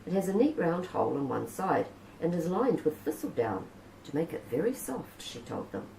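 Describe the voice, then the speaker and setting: A woman reading a picture book aloud in a gentle storytelling manner, saying Maori words naturally. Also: deep voice, very animated, moderate pace, gravelly. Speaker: children's book reader. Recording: roomy with faint background hiss.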